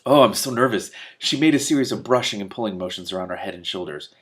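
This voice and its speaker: A man reading a novel aloud as host, expressive and reactive, stumbling over words now and then and breaking in with sweary asides. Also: lowish voice, fast talker. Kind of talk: expressive audiobook-style reading with excited asides.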